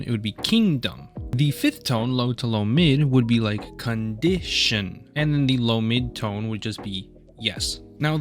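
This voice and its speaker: A young man narrating a class project presentation in a casual way, with self-deprecating asides. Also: medium pitch. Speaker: student presenter. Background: faint guitar music.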